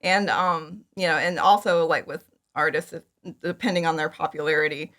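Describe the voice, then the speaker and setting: A woman speaking as a guest in a casual interview, giggly, with animated asides and lots of "like". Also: low-pitched, moderate pace. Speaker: podcast guest, fan audio drama creator.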